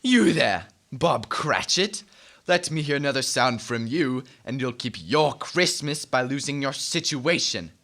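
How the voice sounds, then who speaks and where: A man performs a miserly old man in a radio play, with a bright, forceful voice at middle pitch. He is curt and scornful, barking out his contempt with sarcastic bite. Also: lively intonation, a little slow. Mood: irritated, mocking. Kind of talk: radio drama acting.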